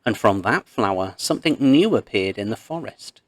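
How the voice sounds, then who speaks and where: A man reading a myth aloud as a storybook narrator, expressive and measured, with pauses. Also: on the low side, no echo.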